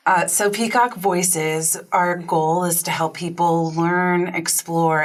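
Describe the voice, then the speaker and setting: A woman voice coach and trained opera singer, speaking as a studio guest with measured, articulate explanations. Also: low voice.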